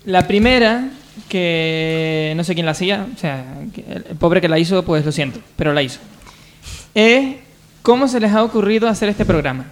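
A man with a distinctly high voice hosts a comedy radio segment. He speaks casually and haltingly, feeling his way through each sentence. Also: expressive.

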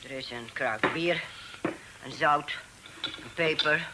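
An actor playing a chatty, grumbling household servant in a period radio play, rambling in colloquial speech. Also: male voice, mid-range voice, slow, close to an everyday voice. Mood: chatty, apologetic. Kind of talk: period radio drama acting. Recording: very noisy old radio play, clattering dishes.

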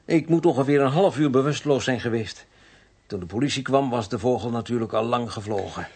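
An actor playing a shaken, apologetic, groggy vicar in a radio play, with a halting, polite manner. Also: male voice, mid-range voice, a little mellow, husky.

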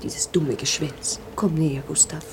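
An actress playing a weary, irritable wife in a radio drama, with a snappish manner. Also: female voice, low-pitched, fairly even intonation, measured pace, noisy background.

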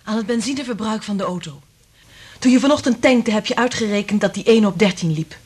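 An actress playing a sober, troubled woman scientist in a Dutch radio drama, speaking in a measured, serious way. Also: medium pitch, a little mellow, husky, close to an everyday voice. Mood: strained, weary.

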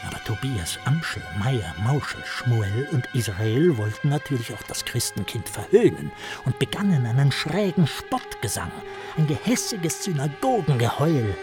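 A man playing a lurid storyteller in a German radio play, theatrical and insinuating, at times sinking to a breathy murmur. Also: medium pitch, big pitch swings, normal speed, music in the background, natural voice. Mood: sinister, gloating. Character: Herr Hund, a man spinning lurid hateful tales with relish.

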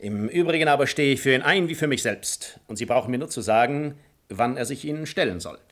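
Guy playing a cool proud nobleman seducer, radio drama, mid-pitched voice, formal and composed. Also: lively intonation, a little fast, fairly bright tone, lightly acted. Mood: firm, assured. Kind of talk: German radio play acting of classic drama.

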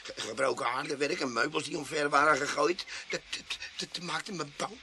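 Radio play casting: man to act a boastful, whining vagrant war veteran, highish creaky voice, pleading and stammering, faint noise on the recording. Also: moderate pace, close to an everyday voice. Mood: nervous, pleading. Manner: stammering, pleading, self-pitying. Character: shifty, whining male tramp, boastful self-styled war veteran. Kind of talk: radio drama acting.

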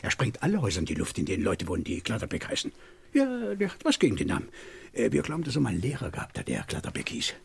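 Rapid, raspy, excitable inspector. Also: male voice, lowish voice, heavily acted. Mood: matter-of-fact. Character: earnest, eager, slightly flustered male police inspector. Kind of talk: mid-century German crime radio comedy acting.

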